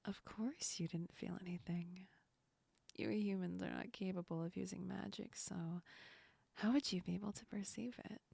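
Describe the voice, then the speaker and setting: A gentle female sleep demon acted by a woman whispers close to the mic in an intimate ASMR roleplay. Her voice is mellow and very breathy, with a creaky edge. She soothes and reassures slowly, affectionate and a little teasing. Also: fairly low pitch, no echo, heavily acted. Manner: slow, soothing, reassuring, lightly amused. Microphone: close ASMR microphone.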